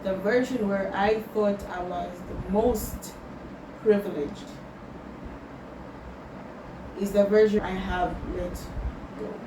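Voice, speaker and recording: A young woman from Tanzania, talking slowly and thoughtfully in a personal vlog and slipping Swahili words into her English. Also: medium pitch, steady tone. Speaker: vlogger. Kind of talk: reflective personal vlog, answering questions. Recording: roomy sound from a distant mic with loud background noise.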